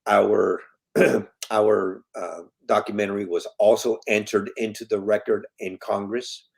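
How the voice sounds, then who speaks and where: Earnest, lower-voiced man in his fifties. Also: steady tone, measured pace, vocal fry, breathy edge. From Colombia. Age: 50s. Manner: earnest, emphatic, repeats key points. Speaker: guest, foundation president. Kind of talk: casual interview talk.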